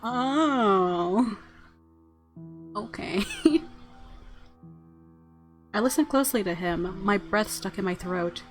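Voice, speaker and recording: A woman with a medium-pitched voice plays a visual novel as a streamer. She reads the story aloud and reacts casually, with faint game music behind her. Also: animated, slow pace, pauses often.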